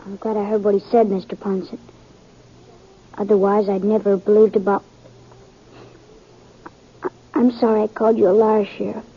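A woman voicing an earnest, halting young farm boy in a 1950s Western radio drama. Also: mid-range voice, flat delivery, slightly rough. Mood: apologetic, hurt. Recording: dull old radio recording.

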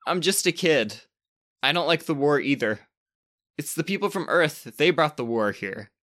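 A man's voice: on the high side, expressive, normal speed, fairly bright tone. A man reading the lines of a frightened young boy, stammering and earnest. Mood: scared.

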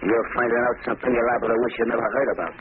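A man playing a fast-talking, bossy gangster. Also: mid-range voice, level tone, natural voice. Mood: hard, commanding. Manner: gruff, barking orders, slangy. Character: bossy, hard-bitten gangster, impatient tough man. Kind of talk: 1940s radio drama acting. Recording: scratchy, noisy, narrow-band old radio recording.

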